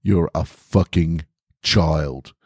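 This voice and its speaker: A man voicing an angry, pedantic male housemate in an audio short story, accusatory, halting and emphatic. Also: very deep voice, a little mellow, slightly rough, dry recording, clean studio sound, full character voice. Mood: accusing.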